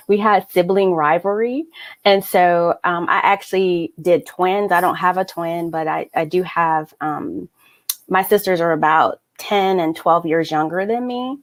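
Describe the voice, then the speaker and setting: Low-voiced woman author, direct. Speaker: author, panel guest, military veteran. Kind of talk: panel discussion over video call.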